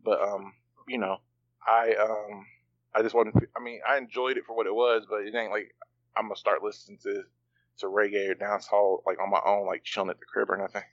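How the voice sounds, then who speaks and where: A man co-hosting a casual podcast, rambling along with fillers and slang. His voice sits a bit low. The recording is muffled and lo-fi. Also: little pitch movement, fairly quick. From part Bahamian. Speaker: podcast co-host. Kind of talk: casual chat.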